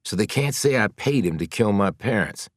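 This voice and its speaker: A man's voice: lowish voice, animated, normal speed, no echo, voice pushed up, lightly acted. A bitter, angry convict who was once a football star , acted out by a man. He talks bluntly in slang, full of frustration at being wronged, as dramatic audiobook dialogue. The sound is dry. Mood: defensive.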